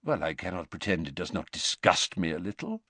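A man voicing a calm, courteous elderly male wizard who speaks quietly. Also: low-pitched, fairly quick, husky, no room sound, heavily acted.

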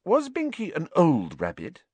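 Audiobook role: logical, insistent teenage schoolgirl, high and lively, performed by a man. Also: a little slow, dry recording, full character voice. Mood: hesitant, questioning. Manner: insistent, matter-of-fact arguing.

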